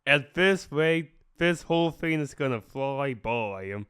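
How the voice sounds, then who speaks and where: A man's voice: on the high side, expressive, a little slow, fairly bright tone, clear, resonant tone. A man casually hosting a metal music show in an informal, boisterous way, sounding slightly stuffed up from a cough. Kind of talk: casual radio show presenting.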